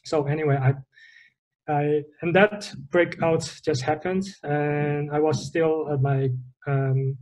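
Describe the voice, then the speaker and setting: A man's voice: a little high, steady tone, takes it slowly, a little mellow, rough recording. A young man from the Netherlands, a guest on a video call from a bedroom, talking hesitantly and searching for words. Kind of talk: casual interview. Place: bedroom, indoors.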